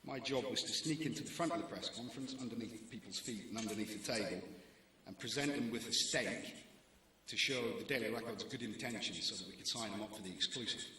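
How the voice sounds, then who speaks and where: A man with a Scottish accent speaks to a hall of students, his voice bright and forceful with a lowish pitch. He tells his stories in a dry, wry way, landing each joke with care. The room rings with echo and a faint hiss sits underneath. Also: fast talker. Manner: dry, wry storytelling with comic timing. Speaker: newspaper deputy editor giving a talk. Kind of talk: prepared speech to students.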